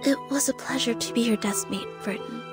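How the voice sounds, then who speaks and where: A woman's voice: on the high side, brisk, over loud music, lightly acted. An actress playing a dutiful young schoolgirl in a video game, speaking politely, formally and earnestly. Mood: wistful.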